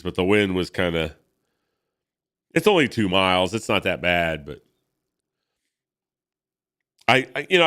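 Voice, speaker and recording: A man's voice: low-pitched, fairly bright tone, clear, resonant tone. A stuffed-up man in his fifties hosting a casual comic book reading, rambling with many fillers. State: congested. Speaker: host.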